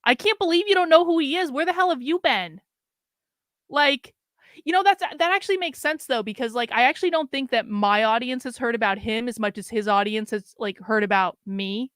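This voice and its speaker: Brief: chatty middle-aged woman streamer, a bit hoarse, smooth higher voice, dry close sound. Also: a little fast, a bit bright, no room sound. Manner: chatty, rambling, wry asides to the audience. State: losing her voice. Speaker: livestream host. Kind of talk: casual livestream chat.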